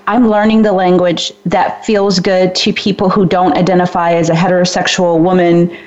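A woman's voice: fairly low pitch, level tone, normal speed, darkish tone, muffled recording. Curious woman hosting an interview. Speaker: interview host. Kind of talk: conversational interview.

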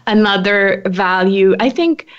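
A woman's voice: medium pitch, a little slow, dull audio. A woman in her forties from Switzerland, a podcast guest chatting casually, with frequent laughs and restarts. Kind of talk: casual interview conversation.

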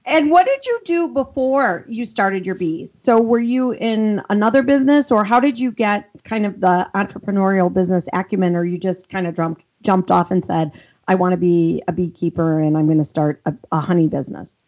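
A woman's voice: mid-range voice, normal speed, darkish tone, dull audio. A woman from Chicago with a Chicago accent, co-hosting an interview and asking long, friendly questions. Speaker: podcast co-host.

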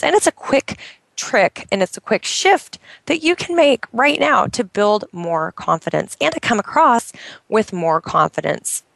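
Upbeat loud-voiced woman coach, gravelly fry, dry close sound. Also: medium pitch, no echo, poor audio. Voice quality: naturally loud voice. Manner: enthusiastic, emphatic, uses exclamations. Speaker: business coach. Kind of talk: motivational talk to camera.

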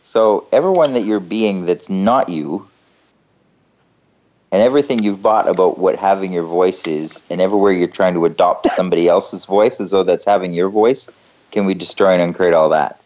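A man hosting a talk show, speaking in a relaxed, rambling way and often repeating phrases. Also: sits low, normal speed, muffled recording. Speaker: host.

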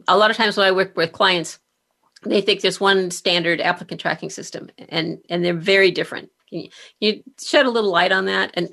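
A woman's voice: medium pitch, creaky, bright, forceful tone. A woman interviewing a guest in a conversational way, speaking as a career coach who adds her own experience.

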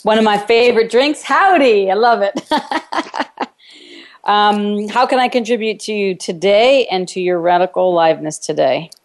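A woman's voice: medium pitch, normal speed. A woman hosting a radio call-in show, coaching a caller in an encouraging, affirming way with probing questions. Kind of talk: live coaching conversation with a caller.

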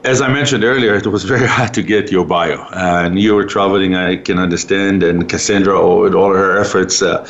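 Halting low-voiced male interviewer. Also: fairly even intonation, a bit bright. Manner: halting, many fillers and restarts, laughs often. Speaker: podcast interviewer. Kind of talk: casual interview. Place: office boardroom. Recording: dull lo-fi audio with faint hiss.

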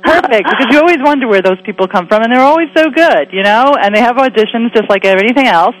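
A woman hosting a talk radio interview, her voice raspy and a little hoarse from a cold, chatting in a rambling way with quick asides. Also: a little high, expressive, slight background noise, low-quality recording. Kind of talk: casual radio interview chat.

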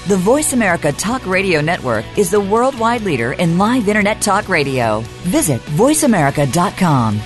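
A woman's voice: medium pitch, normal speed, hardly any pauses. A woman reading a scripted radio network disclaimer in a polished announcer style. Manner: polished, lively announcer read. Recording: radio bumper with faint music. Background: faint music.

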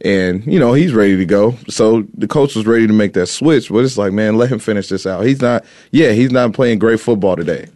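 A man with a fairly low voice talks sports in a relaxed, chatty way. He is recorded close and dry, with no room echo. He explains his take at length and laughs easily between points. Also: a little fast, few pauses. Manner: easygoing, talkative, laughs often. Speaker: sports talk co-host. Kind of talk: casual sports debate.

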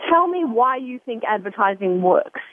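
A woman's voice: a little high, very animated, normal speed, no room sound. A woman hosting a radio talk show, chatty and often restarting her sentences. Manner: chatty, self-interrupting, repeats words. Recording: narrow phone-like line.

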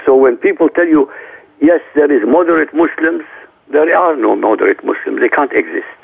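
Middle-aged man from Iraq, native Arabic speaker, gravelly, insistent talk show guest on a phone-quality line. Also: fairly high pitch, lively intonation, normal speed. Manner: emphatic, lecturing.

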